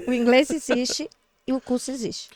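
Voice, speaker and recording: A middle-aged woman, an English teacher chatting as a podcast guest, telling stories in a lively, teasing way. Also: high voice, normal speed, dry recording. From Teresina, Brazil. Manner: animated storyteller, teasing. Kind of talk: casual podcast chat. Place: studio.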